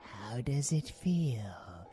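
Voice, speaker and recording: A British man playing a predatory, mocking vampiric abomination in a villain audio roleplay, savoring his phrases theatrically and slipping into breathy whispers. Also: mid-range voice, big pitch swings, extremely slow, mellow. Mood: hungry, whispering.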